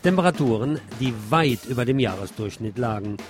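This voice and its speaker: A male news presenter reads scripted reports in an emphatic, slightly wry way. His voice sits at an average male pitch. Music with drums and guitar plays clearly behind him. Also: lively intonation, fairly quick.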